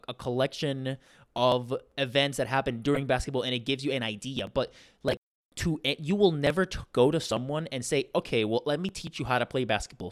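A man in a casual sports discussion, explaining carefully with many restarts. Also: mid-range voice. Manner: analytical, hesitant restarts.

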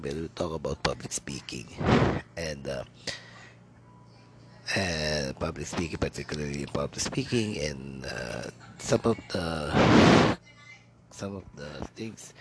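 A man's voice: very deep voice, creaky, background noise. A Filipino man whose first language is Tagalog, hosting a solo podcast in English, rambling with many fillers and restarts. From Philippines. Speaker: podcast host.

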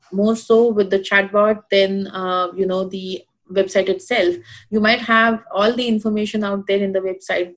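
A woman with a strong Indian accent, a guest answering interview questions, talking loosely and filling pauses with "uh" and "you know". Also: mid-range voice. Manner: many fillers, thinks aloud with "you know" and "uh". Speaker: guest expert. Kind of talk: casual conversational interview.

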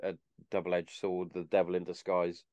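A man's voice: deep voice, completely flat delivery, normal speed, darkish tone, dry recording. A man in his mid-thirties in casual chat, blunt and sardonic, swearing freely. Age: 30s.

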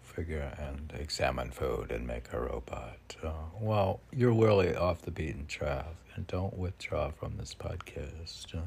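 A man with a gravelly, husky voice reading his own poems, with halting, self-deprecating commentary in which he talks back to himself. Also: very deep voice, expressive, darkish tone, slight background noise. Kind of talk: poem reading mixed with rambling self-commentary.

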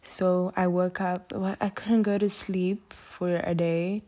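A woman's voice: fairly low pitch, little pitch movement, takes it slowly, warm tone. A girl of about twelve from Uganda with an American-sounding accent, chatting casually and giggling through a rambling vlog from her school hostel. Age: about 12 to 13, grade seven. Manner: chatty, giggly, goes off on tangents. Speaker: vlogger. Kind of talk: casual rambling chat to camera.

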